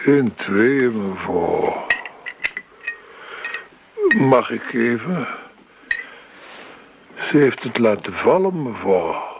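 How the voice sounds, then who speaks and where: A man playing a deferential, nosy waiter in a radio drama, with a gravelly voice and courteous manner. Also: medium pitch, sing-song, extremely slow, pauses often, full character voice. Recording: dull, noisy old radio recording.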